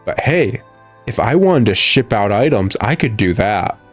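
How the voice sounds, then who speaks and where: A man's voice: on the low side, sing-song, measured pace, faint music behind. A man giving sarcastic, exasperated gameplay commentary. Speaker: gamer commentating a playthrough. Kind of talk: mocking gameplay commentary, reading game text aloud.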